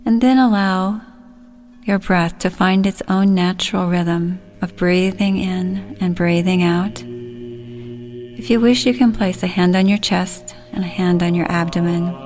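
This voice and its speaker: A woman leading a guided relaxation, speaking soothingly and gently in short, unhurried phrases. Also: lowish voice, steady tone, soft background music.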